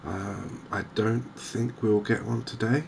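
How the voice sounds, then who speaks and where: A man giving softly spoken, gentle ASMR game commentary in an unhurried, hesitant way. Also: on the low side, steady tone, vocal fry, a little hiss or hum. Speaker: host of a cozy gaming channel.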